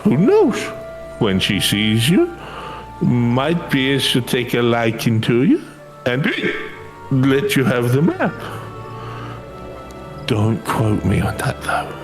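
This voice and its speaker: A man voicing a rough, drunken treasure hunter from a fantasy game, bragging and wheedling in slangy, theatrical speech. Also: mid-range voice, wildly swooping pitch, slow, pauses often, soft background music, low-quality recording. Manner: slangy, theatrical, swooping delivery. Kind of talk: improvised reading of game dialogue.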